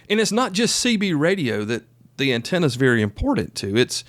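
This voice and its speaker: A man in his fifties talks as a solo podcast host in a folksy, conversational manner. His pitch sits in the middle range for a man, and he leans on key points and wanders into asides. The sound is close and dry. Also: dry recording. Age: 50s. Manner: folksy, chatty, emphatic, given to asides. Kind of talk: casual solo podcast talk.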